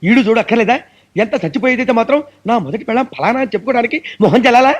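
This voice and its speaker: An actor playing an exasperated priest who wails and laments theatrically in a film comedy. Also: male voice, very high-pitched, rapid-fire. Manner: exaggerated wailing, theatrical lament. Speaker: priest conducting a wedding.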